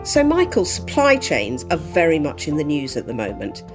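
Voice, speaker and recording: Low-voiced measured woman host. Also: huge pitch swings, over music. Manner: measured, articulate questioning. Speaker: podcast co-host. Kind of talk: interview hosting, scripted intro.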